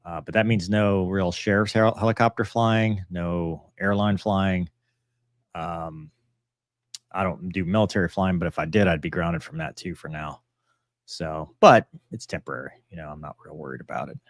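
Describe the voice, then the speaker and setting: A man's voice: low-pitched, moderate pace, dry recording, studio quality. A man hosting a casual live question-and-answer stream, talking in a rambling, matter-of-fact way that often trails off. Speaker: live stream host answering viewer messages; pilot and author.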